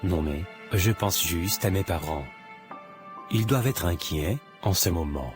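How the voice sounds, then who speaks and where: Low-voiced earnest young boyfriend played by a man. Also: measured pace, over music. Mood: hesitant. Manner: hesitant, serious.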